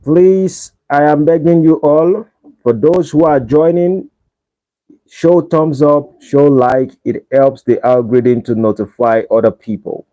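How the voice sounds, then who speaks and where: A man's voice: fairly high pitch, fairly even intonation, unhurried, mellow. A man from Nigeria with a Nigerian accent, hosting a casual livestream and pleading with viewers for likes. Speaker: livestream host. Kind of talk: casual live-stream chat. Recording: dull lo-fi livestream audio.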